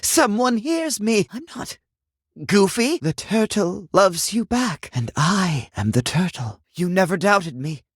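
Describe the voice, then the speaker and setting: A man's voice: high-pitched, very animated, slow pace, breathy edge, dry recording, studio quality, exaggerated voice. An actor playing a confused, sentimental sink who thinks he is human in a video game, with theatrical, flowery delivery. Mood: yearning, earnest. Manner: theatrical, flowery, swinging between formal and exclamatory. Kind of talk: video game character acting.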